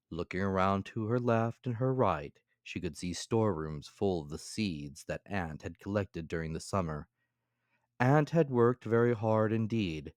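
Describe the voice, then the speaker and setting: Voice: deep voice, dry recording, clean studio sound. A storyteller giving a dramatic scripted reading of a children's fable.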